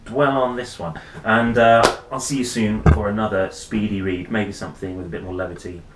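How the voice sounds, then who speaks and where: A man in his thirties reading a picture book with playful, chatty asides and dramatic pauses. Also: lowish voice, steady tone. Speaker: storyteller and host. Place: small wooden room or shed. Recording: roomy echo, faint background noise.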